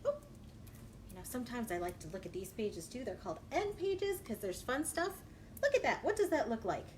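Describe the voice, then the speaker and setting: A woman children's librarian reading a picture book aloud in an exaggerated, animated way and talking directly to young listeners. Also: on the high side. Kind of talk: children's read-aloud storytime. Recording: noisy livestream recording.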